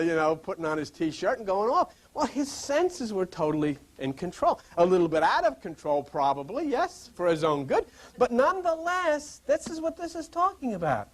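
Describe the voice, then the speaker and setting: A man giving an informal sermon, rambling in a conversational, storytelling way. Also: high-pitched. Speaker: preacher. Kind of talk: informal sermon to a seated group.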